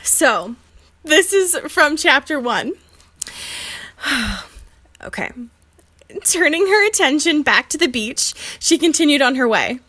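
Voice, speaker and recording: A young woman with a very high-pitched, bright and forward voice. She co-hosts a casual comedy podcast, talking informally and reading aloud. Her speech easily tips into giggles. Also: sing-song, slow, pauses often. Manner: giggly, breaks into laughter while talking. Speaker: podcast co-host.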